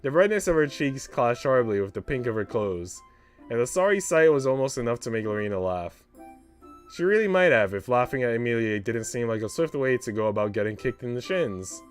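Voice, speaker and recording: A man's voice: mid-range voice, lively intonation, a little fast. A man streaming a visual novel, reading its text aloud and adding casual asides, with music playing behind him.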